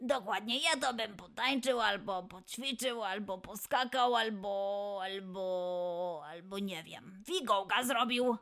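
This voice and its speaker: A woman voicing an excitable, chatty little boy in a playful children's audio show, rambling and repeating the words in a childlike way. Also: medium pitch, slow, voice pushed down. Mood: grumbling.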